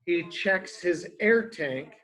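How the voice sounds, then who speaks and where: A male early education teacher reads a picture book aloud to young children. He goes very slowly, explaining words in simple phrases. He often turns to the children with questions. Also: high voice, animated, fairly bright tone.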